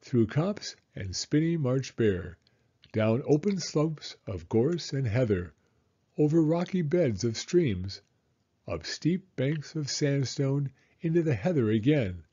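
A man reading a children's story aloud in an expressive, deliberate storytelling manner. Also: mid-range voice, breathy edge, dull audio. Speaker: storybook reader.

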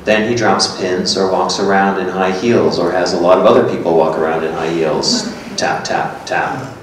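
A man's voice: low-pitched, fairly even intonation, moderate pace, lightly acted. A man giving a staged reading of a literary two-person script, playing a matter-of-fact, musing character. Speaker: performer reading a scripted dialogue. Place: echoey gallery room with hard walls. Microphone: stand microphone. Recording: echoey room, distant, faint noise.

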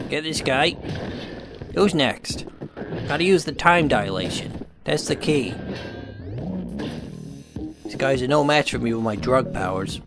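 A man giving playful running commentary as he plays a video game, jokey and exclamatory. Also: mid-range voice. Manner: jokey, exclamatory, cheeky puns. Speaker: gaming video host. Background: game music.

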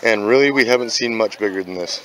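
A man's voice: on the low side, quick pace, bright, forceful tone, rough recording. A man hosting a fishing vlog, chatting casually and jokily to his viewers. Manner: folksy, jokey, addresses viewers as guys. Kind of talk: casual chat to camera while fishing. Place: outdoors on a wooden lake dock. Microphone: handheld camera mic. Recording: clear outdoor noise.

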